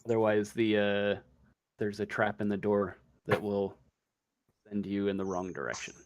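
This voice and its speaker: A man improvising narration as game master of a tabletop roleplaying game, often pausing mid-sentence as he goes. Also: lowish voice, fairly even intonation, noisy background.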